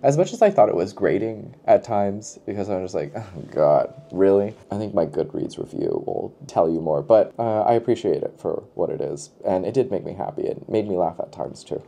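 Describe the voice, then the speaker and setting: A man's voice: sits low, animated, moderate pace, creaky, few pauses, dark tone. A man talking casually to camera as a book vlogger, playful and emphatic, often repeating a phrase for effect.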